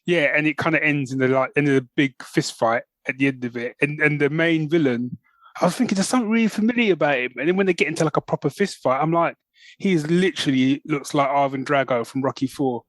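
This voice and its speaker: A man's voice: on the high side, quick pace, dry recording. A man co-hosting a podcast, chatting casually and enthusiastically in loose, conversational phrases. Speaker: podcast host. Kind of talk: casual chat about comics.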